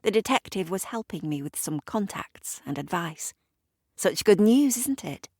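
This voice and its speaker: A woman's voice: medium pitch, expressive, measured pace, darkish tone, dry recording, clean studio sound, voice pushed up. A woman voicing a self-satisfied, languid society lady in an audio drama, breezy and faintly superior. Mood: airily pleased.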